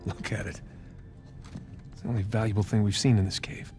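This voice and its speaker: An actor playing a quick-witted, wary treasure hunter in a cinematic video game, with a casual manner. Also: male voice, on the low side, level tone, darkish tone, natural voice. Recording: music bed behind dialogue.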